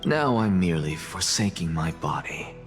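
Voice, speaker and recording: A man's voice: deep voice, sing-song, normal speed. An actor voicing an arrogant, self-sacrificing male scholar in a video game, theatrical, self-assured and dismissive. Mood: defiant, grandiose. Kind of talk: dramatic video game voice acting. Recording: long reverb, music underneath.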